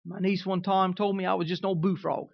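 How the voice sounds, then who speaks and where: A man's voice: high voice, little pitch movement, fast, creaky, no room sound. A man in his fifties with a Southern twang, preaching a sermon in a conversational way and often checking that his listeners are following. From Kansas. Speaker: pastor. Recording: dull, dry recording.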